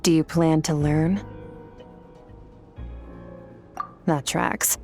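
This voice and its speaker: A stern female warrior mentor from a video game, speaking fairly low with cool, measured detachment. She sounds blunt and matter-of-fact, with music underneath. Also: fairly even intonation, mellow. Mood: cool, instructive. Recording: music bed behind the voice.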